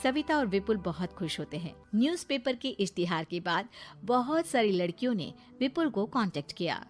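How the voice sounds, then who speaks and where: A woman delivering scripted narration as the storyteller of an animated tale. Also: medium pitch, moderate pace, faint music behind.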